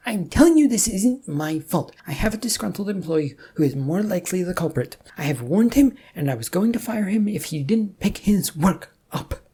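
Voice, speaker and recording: A narrator voicing a blustering, accusing male office boss who talks loudly and indignantly. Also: medium pitch, expressive, normal speed, few pauses, slightly rough, voice pushed up. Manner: loud, indignant, pushy.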